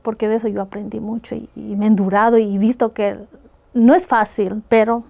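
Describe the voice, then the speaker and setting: A woman's voice: mid-range voice, little pitch movement, fairly quick, gravelly, dark tone. A woman from Ecuador, earnestly recounting hard personal experiences as a guest on a podcast. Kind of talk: personal testimony in a podcast interview.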